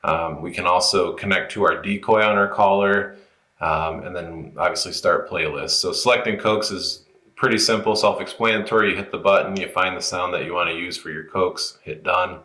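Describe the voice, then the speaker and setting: Deep-voiced man giving a casual tutorial. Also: fairly even intonation, normal speed, sharp tone, echoey room. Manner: informal, unscripted, explaining as he goes. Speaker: product tutorial presenter. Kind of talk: casual step-by-step app walkthrough.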